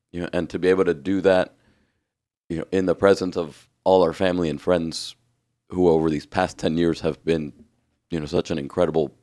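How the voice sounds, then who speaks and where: A hoarse man with a raspy voice, co-hosting a podcast in earnest, reflective casual chat. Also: low-pitched, darkish tone. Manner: earnest, reflective, restarts often. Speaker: podcast co-host.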